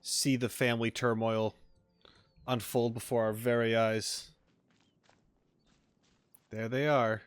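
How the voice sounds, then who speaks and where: A man's voice: medium pitch, level tone, slow, long pauses, clear, resonant tone, no echo. A man giving casual, wry, joking commentary as he streams a video game.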